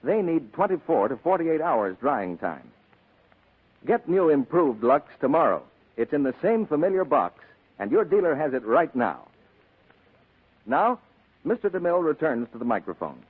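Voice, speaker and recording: A man with a husky voice making a 1940s radio advertising pitch as announcer, on a tinny vintage broadcast. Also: big pitch swings, normal speed.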